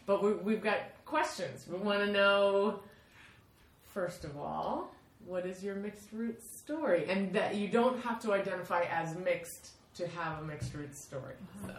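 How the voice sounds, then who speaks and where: A woman hosting a podcast, introducing guests and asking gentle questions. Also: mid-range voice, a little slow, reverberant. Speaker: podcast host. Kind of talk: casual interview.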